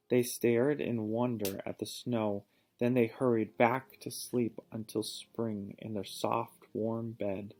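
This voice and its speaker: A man reading a picture book aloud to a child, pausing to ask questions and give patient encouragement. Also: fairly low pitch, a little mellow. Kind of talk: storybook read-aloud with teaching asides.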